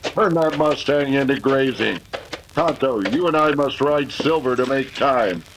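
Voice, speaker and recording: A gravelly-edged, mid-pitched western hero, acted by a man for a radio serial. He is earnest and resolute, a masked cowboy who takes responsibility. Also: a little slow, noisy background, natural voice. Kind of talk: old-time radio western acting.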